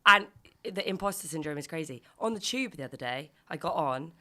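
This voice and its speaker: Croaky young woman, low and bright, podcast co-host telling anecdotes with lots of laughs. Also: lively intonation, fairly quick. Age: 20s. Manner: chatty storyteller, laughs a lot, acts out quotes. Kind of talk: casual chat.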